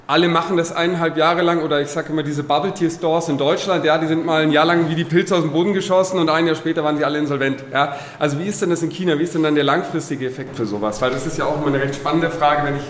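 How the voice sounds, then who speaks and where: A man's voice: a little high, fairly even intonation, fast, few pauses, long reverb tail, slight background noise. A man from Munich asking a panel a question in a chatty, loose way and correcting himself. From Munich, Germany. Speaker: audience questioner. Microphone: handheld mic.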